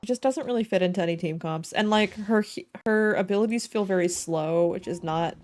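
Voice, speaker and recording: A woman's voice: mid-range voice, fairly quick. A woman chatting casually and expressively while live streaming a game. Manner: giggly, expressive.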